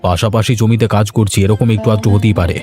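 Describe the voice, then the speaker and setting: A man voicing a stubborn, weary village farmer in a cartoon story, with a gruff manner. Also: on the low side, fairly even intonation, quick pace, warm tone, close to an everyday voice. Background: music.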